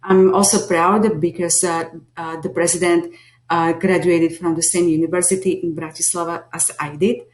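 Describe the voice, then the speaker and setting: A woman's voice: fairly low pitch, flat delivery, moderate pace. Slovak-accented woman, echoey room. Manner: earnest, many hesitations. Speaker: teacher lead and behavior analyst. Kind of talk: class introduction video.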